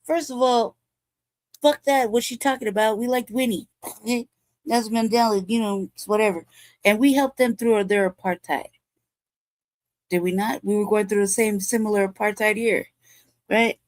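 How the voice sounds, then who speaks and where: A woman's voice: medium pitch, fairly even intonation, normal speed, pauses often, darkish tone, no echo, rough recording. A woman hosting a show, talking in blunt, profane, rambling commentary and laughing often. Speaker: show host.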